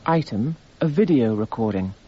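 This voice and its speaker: An actor playing a detached, formal narrator who itemises evidence in clipped phrases for a radio drama. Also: male voice, medium pitch, expressive, normal speed, close to an everyday voice. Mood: detached, descriptive. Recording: slightly muffled radio broadcast.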